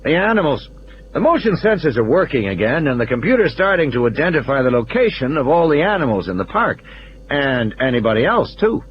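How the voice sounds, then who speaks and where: A man's voice: on the high side, very animated, a little fast, highs cut off, slight background noise, voice pushed up. A man voicing a matter-of-fact male control room engineer who explains technical things with assurance, in audio play dialogue. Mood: explaining.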